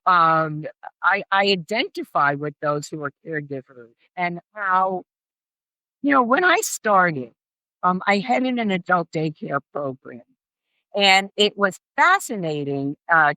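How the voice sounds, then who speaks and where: A woman's voice: lowish voice, bright, forceful tone, dry recording, rough recording. A middle-aged woman speaking as an interview guest and care specialist, explaining and advising emphatically and repeating phrases for stress.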